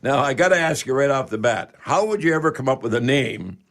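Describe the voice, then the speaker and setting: A man's voice: mid-range voice, expressive, fairly quick, fairly bright tone, no room sound, studio quality. Folksy man hosting radio. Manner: folksy, repeats catchphrases and numbers. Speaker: radio show host. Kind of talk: live radio call-in show hosting.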